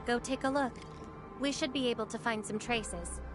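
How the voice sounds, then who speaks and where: A woman's voice: fairly high pitch, normal speed, natural voice. A woman playing an enigmatic, self-assured female companion in a video game, teasing and aloof, shifting between formal phrasing and flippant slang. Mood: teasing, guiding. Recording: music under the voice.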